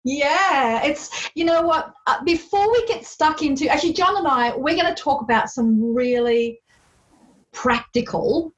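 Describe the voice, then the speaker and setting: A woman's voice: sits high, unhurried, muffled recording. Chatty, enthusiastic woman podcast host. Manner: enthusiastic, chatty, laughs often. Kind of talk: casual interview chat.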